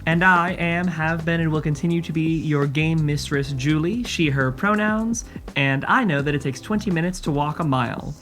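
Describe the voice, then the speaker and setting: A woman's voice: sits low, moderate pace, soft background music. A woman hosting a podcast interview in an articulate, orderly way, as if partly reading from prepared notes. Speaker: podcast host and game master.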